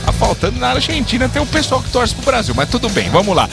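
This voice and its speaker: Lively joking sports anchor, high voice. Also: male voice, quick pace, creaky, over music. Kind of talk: live broadcast banter.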